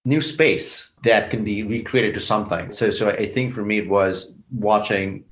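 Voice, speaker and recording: A man from Bangladesh speaks as an interview guest, his voice sitting on the low side. He talks thoughtfully, breaking ideas into steps and now and then repeating a word as he thinks. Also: moderate pace. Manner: reflective, explains in steps, repeats words. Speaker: company founder, guest. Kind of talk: interview conversation.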